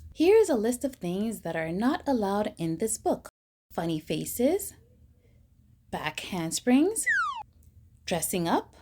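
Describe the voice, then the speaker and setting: A woman reading a children's picture book aloud, slowly and expressively, with mock-stern emphasis. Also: medium pitch, warm tone, a little hiss or hum. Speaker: storybook reader.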